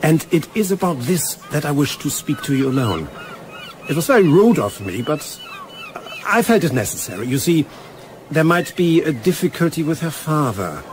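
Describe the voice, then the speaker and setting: An actor playing a courteous, insinuating German naval commander with a German accent in a radio play. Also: male voice, a little high, sing-song, normal speed, slight background noise. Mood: smoothly insinuating. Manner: courteous, insinuating, hesitant phrasing. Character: Von Brüning, suave German naval commander, slyly menacing.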